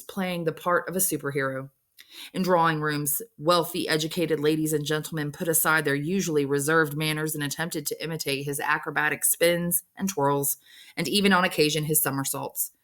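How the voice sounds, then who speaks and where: A woman with a low-pitched voice reads a novel aloud. She narrates in the manner of an English teacher sharing a story, following the written prose. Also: steady tone, normal speed, a bit bright.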